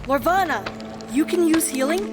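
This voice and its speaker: A woman's voice: high-pitched, expressive, moderate pace, loud music behind the voice. A woman playing an urgent, terse, commanding spellcaster in a fantasy audio drama. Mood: demanding.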